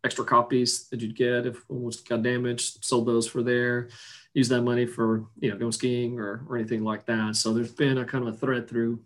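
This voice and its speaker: A man in his forties, originally from Iceland, talks in a loose, rambling way, circling back with ums and restarts. His voice sits at a middling pitch, relaxed and conversational as an interview guest. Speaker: guest, business owner. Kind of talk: casual interview chat.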